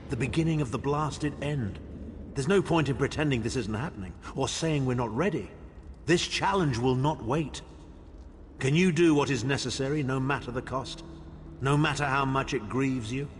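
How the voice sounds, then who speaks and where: A man's voice: mid-range voice, natural voice. A man playing a weary, blunt warrior comrade in a fantasy game, talking with urgent, wry conviction. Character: gruff, weary, blunt male warrior comrade urging courage. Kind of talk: dramatic fantasy game voice acting. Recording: echoing hall, noisy ambience behind.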